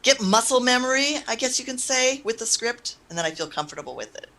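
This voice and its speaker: A woman's voice: fairly high pitch, expressive, fast talker, sharp tone. A woman chatting casually on a panel as a voice actor. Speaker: amateur voice actor.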